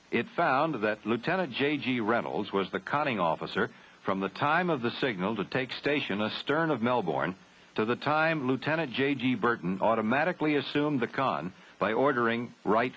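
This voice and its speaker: An American man narrating a 1970s military training film from a script, formal, grave and measured. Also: medium pitch, fairly bright tone, husky. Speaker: documentary narrator. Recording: dull 1970s film soundtrack, faint noise.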